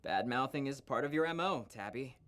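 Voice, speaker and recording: Animated, earnest young guy acted by a man. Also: medium pitch, fairly quick, lightly acted. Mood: defensive. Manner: earnest, defensive. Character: defensive, relentlessly upbeat boy, easily wounded. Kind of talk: visual novel voice acting.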